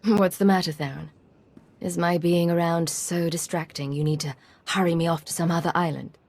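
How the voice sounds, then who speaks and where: An actress playing a cool, confident secret agent in a video game, self-assured and a little teasing. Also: female voice, fairly low pitch, fairly even intonation, moderate pace, a little mellow. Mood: probing, teasing.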